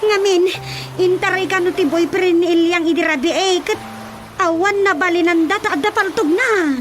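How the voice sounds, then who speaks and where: A woman acting an excitable villager in a radio comedy drama, with a Filipino accent and drawn-out, exclamatory delivery. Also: extremely high pitch, over music. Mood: distressed. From Philippines.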